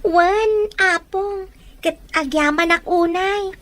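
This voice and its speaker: Casting: actress as young village daughter, extremely high-pitched, bright, sharp voice for family audio drama. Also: female voice, slow pace, full character voice. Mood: meek, polite. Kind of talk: Ilocano audio drama acting.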